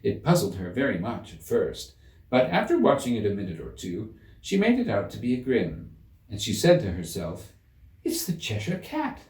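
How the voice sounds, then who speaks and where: Very animated man reading a storybook. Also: fairly low pitch, moderate pace, far from the mic. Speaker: audiobook reader. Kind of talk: expressive scripted storybook narration. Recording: roomy echo, slightly off the mic.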